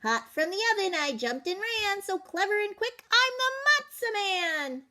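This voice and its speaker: A cheeky little runaway cookie man from a children's storybook, acted out by a woman. The voice sits very high and swings widely in pitch. He brags in sing-song rhyme, clever and pleased with himself. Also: lively intonation, measured pace, sharp tone, exaggerated voice. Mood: gleeful, teasing. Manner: boastful sing-song rhyming chant. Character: cocky, clever little matzah cookie man, teasing rascal.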